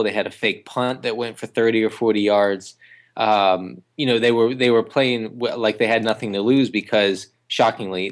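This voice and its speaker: A man co-hosting a casual sports podcast, talking in a halting way full of ums and uhs, with dry jokes. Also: lowish voice. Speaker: podcast co-host.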